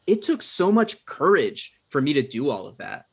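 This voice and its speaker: A man's voice: on the high side, very animated, normal speed, slightly rough. A man reading the part of an earnest, pushy young hopeful TV writer in a comedy table read. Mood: earnest, nervy. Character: eager, pushy male aspiring TV writer.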